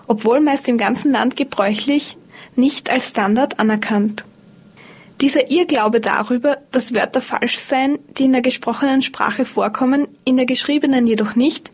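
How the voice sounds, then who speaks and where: Husky-voiced woman presenting a radio feature. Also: on the high side. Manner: measured, informative delivery. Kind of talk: scripted radio feature narration.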